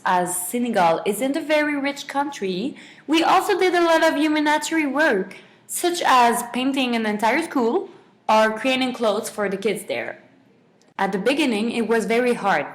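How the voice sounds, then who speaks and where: Young female student, slightly high pitch, semi-prepared interview guest delivery. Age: young adult.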